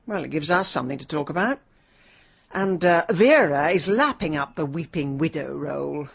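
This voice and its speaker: A brisk, middle-aged English woman detective in a radio drama. She is sharp, wry and defensive, with a lowish, husky voice that swings widely in pitch. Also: animated, dull audio, full character voice. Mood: wry, scheming. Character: sharp, ambitious, defensive ex-London woman amateur sleuth.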